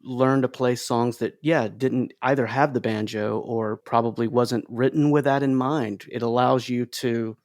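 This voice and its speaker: Gravelly man hosting an interview. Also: mid-range voice, fairly even intonation, measured pace, hardly any pauses, a little mellow, no echo, studio quality. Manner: enthusiastic, complimentary, stumbles and repeats words. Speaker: podcast host. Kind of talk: casual interview conversation.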